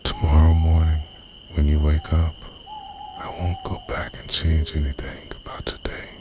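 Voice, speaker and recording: A man's voice: very deep voice, flat delivery, measured pace, very noisy. A man playing an obsessively devoted time-travelling boyfriend in an intimate, earnest, half-whispered ASMR roleplay. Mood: solemn promise. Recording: night crickets and owl behind voice. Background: night insects, crickets and hooting owl.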